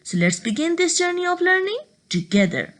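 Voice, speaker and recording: Lively high-voiced woman lecturing. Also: a little fast. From Pakistan. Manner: steady explaining, step by step. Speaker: medical lecturer. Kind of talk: recorded teaching lecture.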